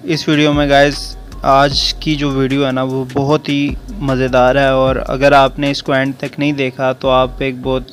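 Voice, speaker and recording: A man's voice: medium pitch, completely flat delivery, measured pace, hardly any pauses. A man giving a casual, conversational explainer for a mobile game video, speaking directly to his viewers. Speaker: gaming video creator. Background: music.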